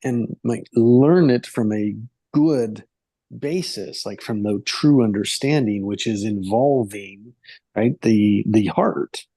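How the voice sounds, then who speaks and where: Male host, mellow dark voice, dry sound, rambling casual talk. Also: on the low side, slow, dry recording. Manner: rambling, filler-heavy, gentle. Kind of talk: casual conversation.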